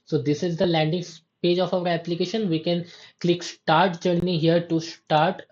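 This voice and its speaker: Low voiced woman demoing her app, slow and explaining, kinda muffled lo-fi mic. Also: little pitch movement, a little mellow. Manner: slow, explanatory, restarts sentences. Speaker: app developer giving a demo. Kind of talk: screen-recorded software walkthrough.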